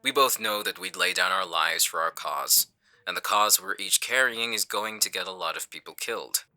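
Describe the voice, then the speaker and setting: A man playing a calculating, menacing villain in an audio roleplay, with a smug, condescending, deliberate delivery. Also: low-pitched, lively intonation, bright, forceful tone, exaggerated voice. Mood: calm menace. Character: smooth-talking male villain, calculating, menacing leader. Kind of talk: audio roleplay acting on a phone call.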